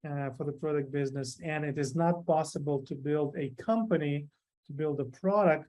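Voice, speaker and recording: A man's voice: a little high, moderate pace, darkish tone. A man from Ukraine giving a conference presentation, with frequent fillers and restarts. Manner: hesitant, many fillers. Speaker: conference speaker. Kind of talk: presentation talk.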